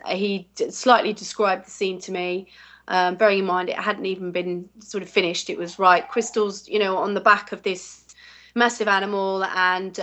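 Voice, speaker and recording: A woman's voice: mid-range voice, fairly bright tone, slight background noise. An English woman, a voice actress, chatting casually in an interview and rambling through fillers and restarts. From England.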